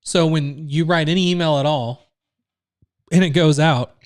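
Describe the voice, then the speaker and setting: A man's voice: a little high, steady tone, a little slow, no echo, studio quality. A man hosting a live online training, explaining steps in a loose, casual way full of fillers and restarts. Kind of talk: live screen-share training.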